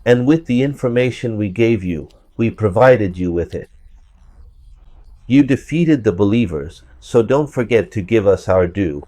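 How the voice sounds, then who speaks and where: A man with a hoarse voice from a cold, giving verse-by-verse scripture commentary and repeating points for emphasis. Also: lowish voice, lively intonation, unhurried, mellow, dry recording. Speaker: religious commentator.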